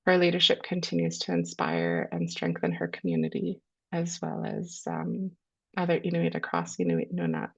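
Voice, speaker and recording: A woman with a low voice and a cold, hosting a webinar and introducing its speakers in a measured way with frequent ums. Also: very animated, vocal fry, slightly rough. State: has a cold. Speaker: webinar host. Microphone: video call. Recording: lo-fi video call audio.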